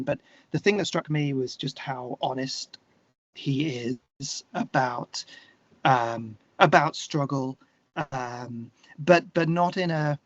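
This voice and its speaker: A middle-aged man speaking earnestly and haltingly as an interview guest, reflecting in a conversational way with emphatic stresses. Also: fairly high pitch, dry recording. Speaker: guest, singer-songwriter. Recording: slightly dull, lo-fi conversation audio.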